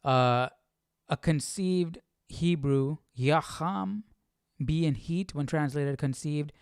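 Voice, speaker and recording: A man hosting a casual live Bible study, reading aloud and thinking out loud in an informal, slangy way. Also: on the high side, slow, clean tone, dry recording, clean studio sound.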